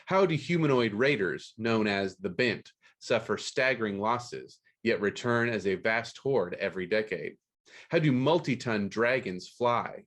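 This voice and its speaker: A man's voice: fairly low pitch, lively intonation, unhurried, fairly bright tone. A man hosting a podcast about books. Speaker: podcast host. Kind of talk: scripted book news read aloud, then casual interview.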